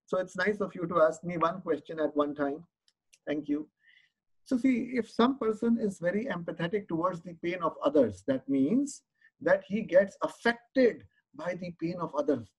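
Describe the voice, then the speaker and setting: A man's voice: high voice, animated, normal speed, slightly rough, low-quality recording. A man is teaching in a live online Q&A. He explains each point earnestly and patiently, and doubles back on words while he searches for the next one. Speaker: Reiki teacher answering questions.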